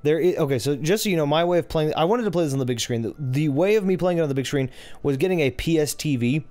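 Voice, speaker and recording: A man with a low voice hosting a casual game playthrough, chatty and rambling, correcting himself as he goes. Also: animated, fast talker, mellow, no room sound. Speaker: gaming channel host. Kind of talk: casual let's-play commentary.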